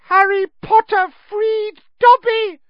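A man voicing a small, excitable male elf servant in a storybook, shrill and squeaky, humble and fervently devoted. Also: takes it slowly, dry recording, lo-fi sound. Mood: joyful. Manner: speaks of himself in third person, servile, fervent.

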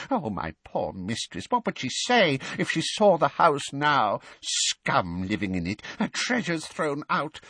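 A man's voice: on the low side, a bit bright, slightly rough, dry recording, voice pushed up, exaggerated voice. A man voicing a bitter, servile old house-elf in a storybook, muttering about himself in the third person.

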